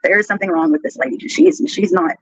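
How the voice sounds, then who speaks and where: A woman's voice: mid-range voice, big pitch swings, quick pace, no room sound. A woman chatting casually on a live stream in a giggly, crude, incredulous manner. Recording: dull, lo-fi replayed stream audio.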